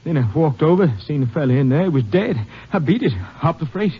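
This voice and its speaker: An actor playing a scared, evasive male hobo suspect who stammers and pleads in rough, ungrammatical speech, in a 1950s radio drama. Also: fairly high pitch, animated, a little fast. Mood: pleading. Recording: dull old radio recording.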